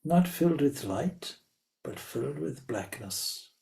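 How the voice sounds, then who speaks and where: A man leading a guided meditation as a spiritual teacher, speaking deliberately in short phrases with long pauses. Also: medium pitch, lively intonation, creaky, dark tone.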